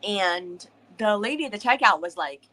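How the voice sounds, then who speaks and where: Woman co-host, bright voice, Northern US accent with a bit of Southern, agreeable. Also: medium pitch, animated, moderate pace. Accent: US Northern with a slight Southern touch. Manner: agreeable, frequent "yeah", rambling. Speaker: book club co-host. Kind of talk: casual livestream chat.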